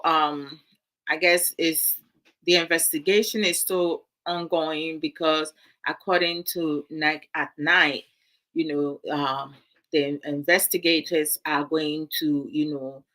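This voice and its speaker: A woman's voice: low voice, flat delivery, slow pace, sharp tone, low-quality recording. A woman from Ghana, giving casual commentary to her live viewers in a musing, hesitant way with many fillers. Her is Twi. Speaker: vlog host.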